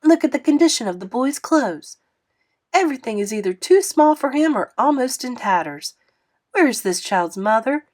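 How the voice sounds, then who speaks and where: A woman voicing a kind but scolding motherly woman who fusses over a child in a lively way. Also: high voice, normal speed, voice pushed up. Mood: indignant. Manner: warm, animated, fussing.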